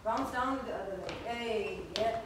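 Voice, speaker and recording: Female dance teacher, high voice, unhurried counts and step cues, recorded at a distance with music. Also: background noise. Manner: energetic, instructing in short calls. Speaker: dance instructor. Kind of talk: calling out dance steps and counts. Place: large indoor dance studio. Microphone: distant phone camera. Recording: echoey studio, far from phone, music.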